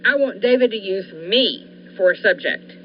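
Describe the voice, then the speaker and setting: A woman's voice: mid-range voice, moderate pace, close to an everyday voice. A young woman playing a scientist's devoted, fervent wife in a 1940s-style radio thriller, earnest and persuasive. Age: young adult. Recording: dull lo-fi recording with faint noise.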